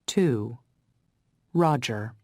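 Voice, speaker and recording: A woman reading textbook listening instructions in a measured, announcing way. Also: very deep voice, expressive, a little mellow, dry recording, studio quality. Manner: measured, announcing numbers and names. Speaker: audio course narrator. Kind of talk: scripted textbook audio instructions.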